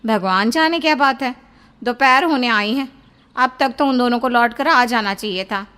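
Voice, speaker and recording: A woman's voice: a little high, moderate pace, sharp tone, smooth. A woman playing a worried middle-aged village wife and mother in a horror audio story. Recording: long reverb.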